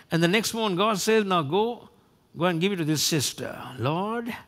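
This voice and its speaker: High-pitched older male preacher. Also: a bit bright. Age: 60s. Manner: emphatic, repeats phrases for effect. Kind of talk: sermon. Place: church stage, indoors. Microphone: headset.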